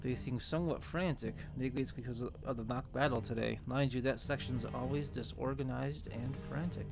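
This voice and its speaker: A man's voice: mid-range voice, fairly even intonation, moderate pace, gravelly, loud music behind the voice, lightly acted. A man voicing a brusque facility staffer, casually reading video game dialogue aloud. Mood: informative. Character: brusque but apologetic male facility staffer.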